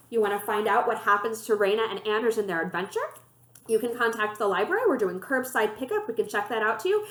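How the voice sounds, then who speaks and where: A woman reading a children's novel aloud as an expressive, animated storyteller. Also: a little high. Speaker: librarian reading a book aloud. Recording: roomy, echoey room sound.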